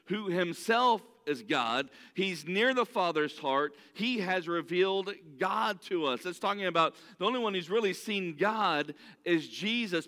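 Man, high clear voice, earnest preacher, restarts sentences, long hall reverb. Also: sharp tone. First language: not English. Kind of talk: sermon.

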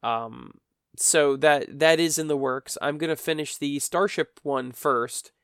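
A man's voice: on the high side, a little slow, fairly bright tone, clear, resonant tone, no room sound, clean studio sound. A man hosting his own podcast, tired and stuffy with a cold, rambling with self-mocking humor. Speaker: podcast host. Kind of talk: solo podcast chat. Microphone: podcast microphone.

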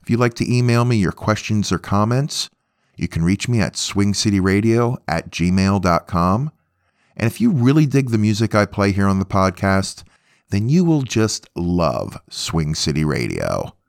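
A man with a low-pitched voice hosts a music show in a casual way. His intonation moves up and down in a lively fashion. The sound is close and dry, with little room on it. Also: moderate pace, gravelly, dry recording. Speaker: podcast host.

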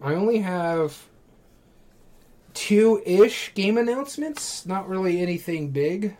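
A man's voice: sits high, expressive, slow pace, dark tone. A man co-hosting a casual podcast, talking in an easygoing way with dry understatement. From Southern California. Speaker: podcast co-host.

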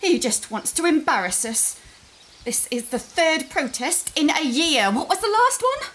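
A woman's voice: high-pitched, sing-song, unhurried, fairly bright tone, exaggerated voice. A woman playing a haughty, scornful middle-aged mother of a rich family in an audio drama, cutting and imperious, in an echoey hall with music behind her. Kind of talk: science fiction audio drama acting.

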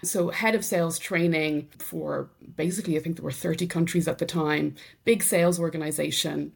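Irish woman, middle-aged, lowish voice, relaxed interview storytelling. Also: moderate pace, vocal fry, slightly rough. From Ireland. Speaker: podcast guest, sales enablement executive. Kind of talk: conversational interview.

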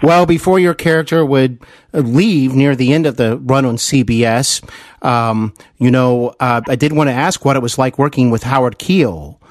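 A man's voice: medium pitch, normal speed, no echo. A man hosting a podcast interview, speaking politely and deferentially in a conversational style. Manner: polite, deferential, affirming the guest.

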